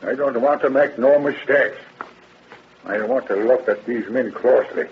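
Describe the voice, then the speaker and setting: A man's voice: medium pitch, normal speed, close to an everyday voice. An actor playing a cautious, crotchety old handyman with folksy, plain-spoken talk in a 1930s radio drama. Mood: stubborn, fussy. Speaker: witness in court. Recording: muffled old radio recording, faint noise.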